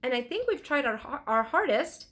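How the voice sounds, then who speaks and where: An eager, tender-hearted young girl from a storybook, voiced by a woman reading aloud. Her voice sits high and moves up and down a lot, earnest and insistent in every phrase. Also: big pitch swings, moderate pace, fairly bright tone. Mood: earnest, urging.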